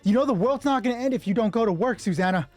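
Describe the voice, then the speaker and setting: A man's voice: high voice, lively intonation, racing, darkish tone, faint music behind, voice pushed up. A man acting a whiny, middle-aged husband who accuses and snaps in exasperation, raising his voice in an audio drama. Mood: angry, incredulous. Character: whiny, aggrieved middle-aged balding husband, easily angered.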